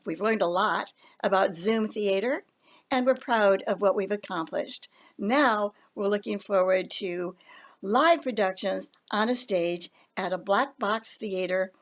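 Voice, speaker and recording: A woman presenter giving a prepared announcement to introduce a play. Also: medium pitch.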